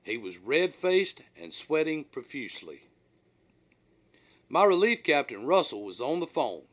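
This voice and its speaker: A man narrates a first-person tale as the author of an audiobook. His mid-range voice moves up and down a great deal, giving the scripted reading a dramatic, animated storyteller feel. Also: medium pitch.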